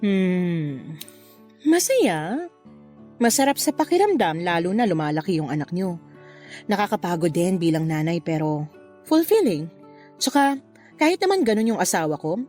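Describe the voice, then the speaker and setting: A woman playing a flirtatious, unapologetic married mother in a Tagalog radio love drama, with a playful, expressive delivery. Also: lowish voice. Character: flirtatious married mother having a secret affair, breezily unapologetic.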